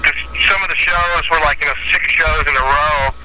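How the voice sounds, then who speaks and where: A man's voice: on the high side, steady tone, a little fast. A man who sings in a metal band, talking casually in an interview and rambling with many "you know"s and "like"s. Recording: loud background noise.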